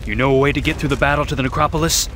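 An actor playing a determined, defiant young warrior hero in a video game, resolute and earnest. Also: male voice, mid-range voice, fairly even intonation, very fast, slight background noise, natural voice. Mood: grim resolve. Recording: loud music behind the voice.

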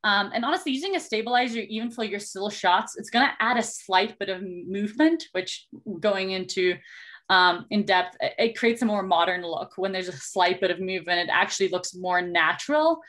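A woman's voice: medium pitch, normal speed, fairly bright tone. A woman from South Africa with a South African accent, teaching an online video workshop over a video call in a chatty, explanatory way with frequent ums.

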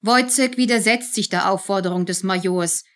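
A woman's voice: mid-range voice, normal speed, fairly bright tone. Female narrator, radio play, scripted storytelling read.

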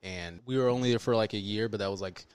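Laid-back, low-voiced man joking around. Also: fast, smooth. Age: 30s. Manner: laid-back, jokey, drops to low asides. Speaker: guest comedian. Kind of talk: casual comedy podcast chat.

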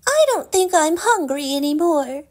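A woman voicing a bratty, haughty young princess girl in a storybook reading, shrill and indignant. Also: sing-song, moderate pace, darkish tone.

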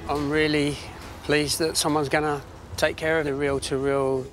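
A man's voice: fairly high pitch, level tone, normal speed, fairly bright tone, soft background music. A man in his sixties chatting casually and reminiscing as a guest in a barn workshop. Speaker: guest bringing an heirloom for repair. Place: large timber barn workshop.

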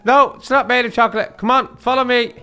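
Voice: medium pitch, steady tone, a little fast, bright, forceful tone, clean tone, natural voice. A performer voicing a silly, boastful cartoon dog in a playful, informal Minecraft roleplay. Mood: cheeky. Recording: music behind the voice.

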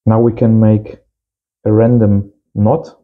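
A man's voice: fairly low pitch, level tone, takes it slowly, phone-quality sound, soft background music. A man in his thirties giving a casual hands-on tutorial as host, in simple short phrases. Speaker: host of amateur radio channel. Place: small indoor home workshop.

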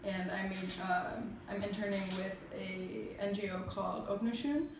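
A woman's voice: mid-range voice, fairly even intonation, moderate pace. Young woman, distant and echoey. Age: young adult. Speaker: student intern. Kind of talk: informal self-introduction. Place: small office meeting room. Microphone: distant camera mic. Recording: echoey, noisy room, far mic.

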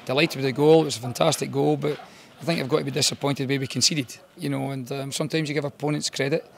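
Scottish football boss in his fifties, rapid and gravelly, earnest, repeats points. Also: medium pitch, fairly even intonation, a little hiss or hum. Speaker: football manager. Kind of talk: post-match interview. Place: bare indoor room with painted brick walls.

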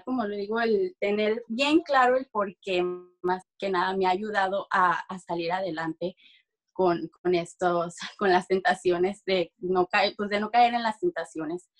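A young adult woman from Mexico with a Mexican accent, giving a personal testimony in conversation on a video call, halting as she searches for words. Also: medium pitch. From Durango, Mexico. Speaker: interview guest giving a testimonial. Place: small room at home, video call.

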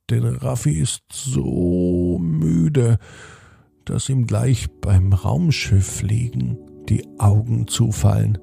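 A man narrating a bedtime story in a slow, soothing way, speaking directly to the child listening. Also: mid-range voice, creaky, mellow, husky, no echo, clean studio sound.

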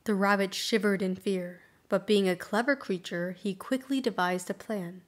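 Female storyteller for folktale narration: medium pitch, measured, carefully phrased read. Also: fairly even intonation.

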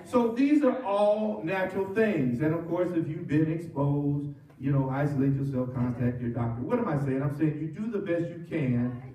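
A middle-aged man preaching a sermon as pastor, animated and full of call-and-response. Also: on the high side, moderate pace. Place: church sanctuary with cross wall. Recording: roomy church from far off.

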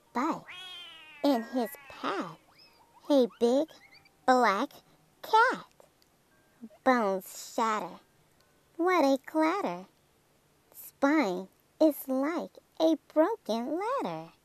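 A woman reading a rhyming children's picture book aloud in a dramatic, theatrical way, drawing out words and dropping into hushed phrases. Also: fairly high pitch. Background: music.

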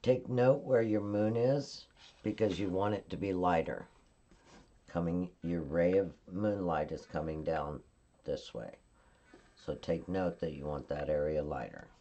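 A woman at her craft table giving a calm, step-by-step card-making tutorial while she works. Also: extremely low pitch, unhurried, highs cut off. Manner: calm, instructive, narrating her hands-on work.

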